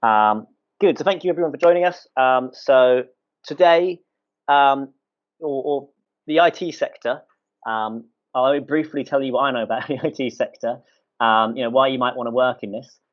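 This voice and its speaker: A man hosting an online college event, talking hesitantly with many pauses. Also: mid-range voice. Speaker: college host. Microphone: video call. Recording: muffled video call audio.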